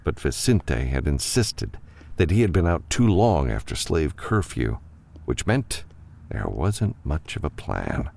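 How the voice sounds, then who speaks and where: A man's voice: extremely low pitch, sing-song, a little slow, vocal fry, darkish tone. A man reading scripted fiction as an audiobook narrator.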